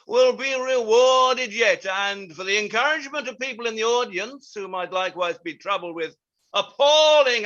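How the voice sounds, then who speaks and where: A self-important playwright, acted by a man, holding forth theatrically. His voice is high and forceful for a man and unhurried, lecturing with pompous relish through slightly dull audio. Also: exaggerated voice. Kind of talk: theatrical monologue in staged reading.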